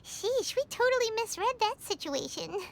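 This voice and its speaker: A woman voicing a tiny, excitable childlike fairy companion in a video game, squeaky, bubbly and exaggerated. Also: moderate pace, vocal fry, exaggerated voice. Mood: sheepish. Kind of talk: animated game voice acting.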